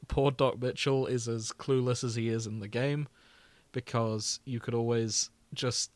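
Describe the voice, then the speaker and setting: A man's voice: mid-range voice, steady tone, measured pace, dry recording. A man co-hosting a podcast in casual chat, with a jokey manner. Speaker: podcast co-host.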